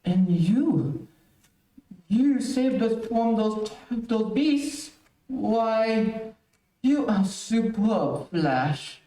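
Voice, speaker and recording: A man playing a dazed, grateful alien prince in an old-time radio serial, halting and awed. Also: high voice, expressive, mellow, heavily acted. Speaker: amateur radio play actor. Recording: very echoey, distant streamed stage audio.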